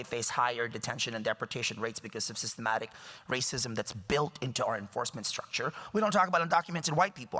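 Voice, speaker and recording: Wry man in his thirties, bright tone. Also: mid-range voice. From Philippines. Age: 30s. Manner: wry, joking, emphatic. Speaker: guest speaker. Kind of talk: public talk. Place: lecture hall. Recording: reverberant lecture hall.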